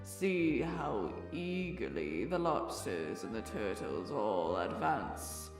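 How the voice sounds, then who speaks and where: An actor playing a mournful, solemn turtle creature in an audio drama, speaking in a slow, drawn-out way. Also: mid-range voice, big pitch swings. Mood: slow, solemn, sad. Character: sorrowful old Mock Turtle, slow, sighing and solemn. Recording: roomy, distant mic, music underneath.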